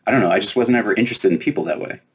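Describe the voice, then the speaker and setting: A man reads as a young giant girl in a children's storybook. The voice is somewhat husky, and the girl comes across as earnest but guarded, hesitant and quick to defend herself. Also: fairly low pitch, pitched above the performer's own voice. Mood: uneasy, defensive. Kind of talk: children's storybook read aloud.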